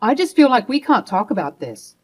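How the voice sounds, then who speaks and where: A woman's voice: fairly high pitch, very animated, fairly quick, a little mellow, husky. A woman voicing a cheating adult husband who stammers and pleads evasively. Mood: pleading.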